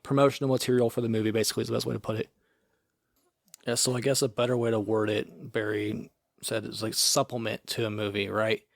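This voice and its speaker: A man's voice: medium pitch, gravelly, dry recording, studio quality. A man co-hosting a podcast, chatting casually and rambling with many filler words. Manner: rambling, filler-heavy, often says "like". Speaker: podcast co-host. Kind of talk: casual chat.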